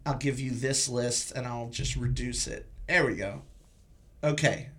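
A man's voice: mid-range voice, a little slow, fairly bright tone. A man hosting a podcast, chatting casually and informally about films. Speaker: podcast host. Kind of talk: casual film discussion.

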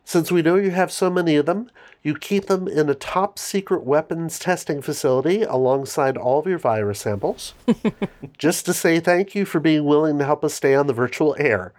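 A man's voice: on the high side, normal speed, a little mellow. A congested man hosting a book club podcast, talking in a casual, dry, self-mocking way. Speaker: podcast host.

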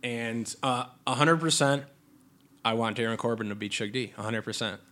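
A man co-hosting a casual podcast, sniffly from allergies, excitable and swearing freely. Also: medium pitch, moderate pace. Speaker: podcast co-host. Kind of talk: casual wrestling chat. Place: Chicago area.

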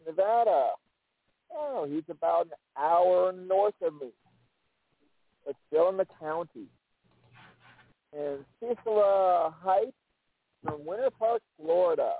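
A male podcast co-host with a high, gravelly, husky voice who talks slowly and draws out his words. The sound is dry and narrow, like it is coming over a phone. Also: no echo. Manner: slow, drawn-out reading of names. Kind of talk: casual chat. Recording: dry, tinny phone-like audio.

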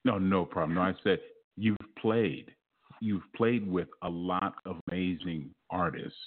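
A man with a very low, husky voice interviews a guest, praising her and tripping over restarts. His voice comes through a narrow, phone-like band. He sounds eager and sincere. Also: steady tone, a little slow. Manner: admiring, stumbling restarts. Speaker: radio show host.

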